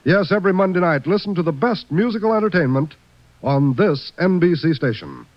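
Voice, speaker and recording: A man's voice: fairly high pitch, sing-song, a little fast, a little mellow. A man announcing in formal, declamatory 1950s network radio style from a script. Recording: dull, dry vintage radio recording.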